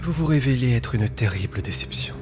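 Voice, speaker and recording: A man acting in a dubbed TV thriller as a cold, condescending, time-obsessed hacker leader, speaking in a breathy, hushed, precise and formal way. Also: mid-range voice, animated, brisk, close to an everyday voice. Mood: withering. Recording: loud music under the voice.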